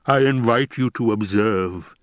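A man voicing a fat, scheming baron in an audiobook, with a rumbling, growling bass and a grandiose, savoring delivery. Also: unhurried, dry recording, close to an everyday voice. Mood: savoring, smug. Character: fat scheming villainous baron, pompous and menacing.

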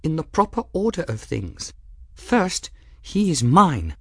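A man acts out a proud young woman from an audiobook. The voice sits high and moves with lively intonation. Every word is cool, formal and exact, with open defiance. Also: takes it slowly, breathy edge, no echo, full character voice. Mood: firm resolve. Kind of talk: audiobook character voice.

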